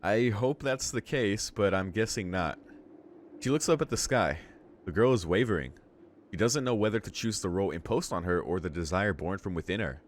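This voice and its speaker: A man hosting a visual novel read-along, switching between narrating the text aloud and casual, halting reaction commentary. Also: low voice, expressive, slight background noise. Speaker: let's-play host reading a visual novel aloud and reacting.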